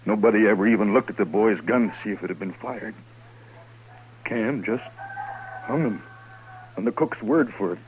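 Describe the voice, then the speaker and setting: An actor playing a troubled, guilt-ridden American man of the Old West in a radio western, halting and earnest. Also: lowish voice, muffled recording, natural voice. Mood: haunted, confessing. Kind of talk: 1950s radio western acting. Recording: faintly noisy old radio recording.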